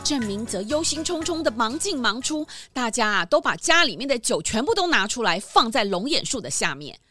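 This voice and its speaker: A woman narrates a children's mystery with dramatic flair. Her voice is high and bright, rising and falling expressively over soft background music. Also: big pitch swings, fairly quick, no echo.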